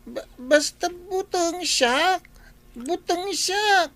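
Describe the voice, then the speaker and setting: A woman's voice: very high-pitched, animated, very slow, a bit bright, no room sound, exaggerated voice. A woman voicing a fretful small boy who stammers and whines in a radio drama. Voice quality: stammering, whiny.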